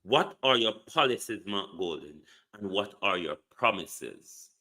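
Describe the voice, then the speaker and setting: Guy ranting about politics, slow and deliberate, bright edgy tone, keeps hammering questions. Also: fairly low pitch, expressive. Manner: emphatic, repeats the addressee's name, rhetorical questions. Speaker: online commentator. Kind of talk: political commentary monologue.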